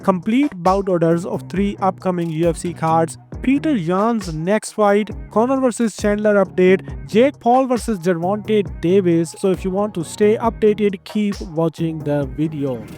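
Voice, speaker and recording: A man with an Indian accent hosting a fight news roundup in a brisk, opinionated voice. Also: high voice, dark tone, soft background music. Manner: brisk, opinionated, playful asides. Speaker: MMA news host. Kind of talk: scripted news narration.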